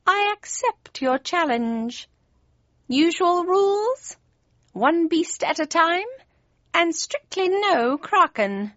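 Woman voicing a high, drawling, mischievous storybook cat. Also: big pitch swings, takes it slowly, fairly bright tone, no room sound, muffled recording, exaggerated voice. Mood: slow, playful. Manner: drawling, playful, old-fashioned polite phrasing. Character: grinning mischievous male Cheshire Cat, gleeful and genteel. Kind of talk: audiobook character voice.

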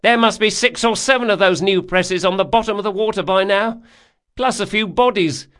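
Loud, high-pitched, chatty boatman. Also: normal speed. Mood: grumbling, gossipy. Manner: colloquial, dropped words, exclamatory. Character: chatty blunt boatman, opinionated working rower.

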